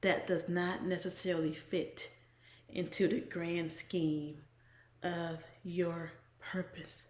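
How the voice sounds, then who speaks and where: Woman w a lowish voice doing a devotional vlog, earnest and measured, goes breathy at the ends, echoey room. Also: level tone. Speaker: devotional blogger. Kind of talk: inspirational talk to camera.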